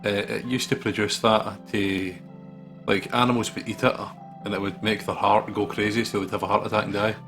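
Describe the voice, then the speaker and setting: A man's voice: fairly low pitch, level tone, fairly quick, a bit bright. A man co-commentating on a game with casual banter in a jokey, informal manner. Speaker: gaming co-commentator. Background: game music.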